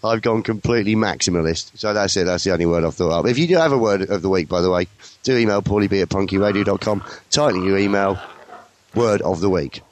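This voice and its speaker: Husky-voiced radio host. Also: male voice, low voice, fast. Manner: laid-back, joking banter. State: husky, throaty voice. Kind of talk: casual radio chat.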